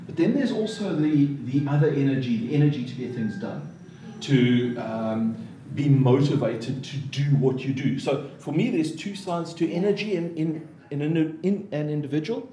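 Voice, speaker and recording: A man's voice: a little high, normal speed. South African man, chatty, echoey room. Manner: relaxed, chatty, with frequent ums and laughs. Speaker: interview guest, motivational speaker and trainer. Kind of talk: casual podcast chat. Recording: echoey room, faint noise.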